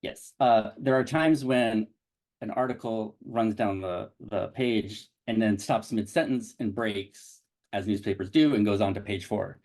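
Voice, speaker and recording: A man presenting a digital edition's web interface on a video call, speaking haltingly with many restarts. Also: on the low side, darkish tone, poor audio. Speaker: digital project developer presenting a site.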